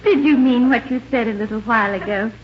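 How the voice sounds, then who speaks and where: A woman's voice: fairly high pitch, fast, natural voice. An actress playing a spirited, romantic young lady in a 1940s radio comedy. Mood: tender. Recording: muffled, hissy 1940s radio recording.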